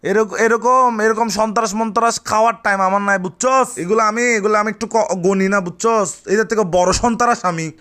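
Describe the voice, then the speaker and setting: A man's voice: high-pitched, fairly even intonation, moderate pace, hardly any pauses, bright, forceful tone. A young Bangladeshi man with a Chittagong accent talking informally on a prank phone call, repeating himself and boasting. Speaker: prank caller playing an older brother.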